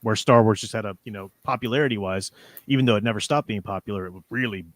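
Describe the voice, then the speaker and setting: A man's voice: lowish voice, fast, no room sound. Enthusiastic, rambling man in his forties, close dry sound. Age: 40s. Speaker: livestream host. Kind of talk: casual fan discussion of comics and audio dramas.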